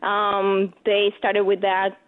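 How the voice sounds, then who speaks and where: A woman's voice: mid-range voice, completely flat delivery, slow. A woman from Venezuela with a Venezuelan Spanish accent, a radio interview guest talking earnestly and often saying "you know". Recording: phone-line guest audio.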